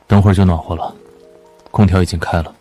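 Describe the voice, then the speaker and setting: An actor playing a cool company boss in an animated short drama, with a dry, teasing, curt manner. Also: male voice, deep voice, fast talker, soft background music.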